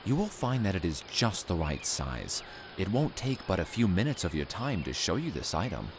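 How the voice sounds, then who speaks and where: A mysterious door-to-door salesman with a very deep voice that swings widely in tone, as a man acts him out. His speech is formal and courteous, as in an audio drama. Faint room ambience sits behind him. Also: sing-song, normal speed, background noise. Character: mysterious polite male salesman dealing in calendars.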